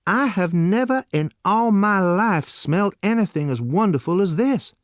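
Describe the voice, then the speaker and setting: A man voicing a naive, eager seventeen-year-old boy who exclaims with wide-eyed excitement. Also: a little high, unhurried, no room sound, clean studio sound, pitched above the performer's own voice. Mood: delighted.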